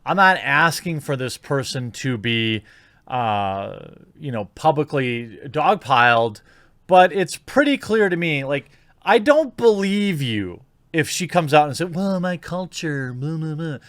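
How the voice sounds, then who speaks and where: A man's voice: a little high, animated, unhurried, a bit bright, no echo, studio quality. A man giving opinionated video commentary in an indignant, informal, sarcastic manner. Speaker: YouTube commentator.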